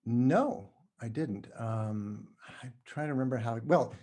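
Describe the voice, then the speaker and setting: A middle-aged man from New Mexico being interviewed, talking casually and haltingly, often correcting himself. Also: medium pitch, mellow. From New Mexico, United States. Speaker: podcast guest, writer.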